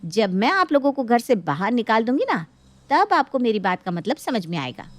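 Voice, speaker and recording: Woman playing a high-pitched, greedy, haughty grown daughter. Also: sing-song, moderate pace. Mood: angry, threatening. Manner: scolding, imperious, threatening. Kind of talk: dramatic moral-story acting.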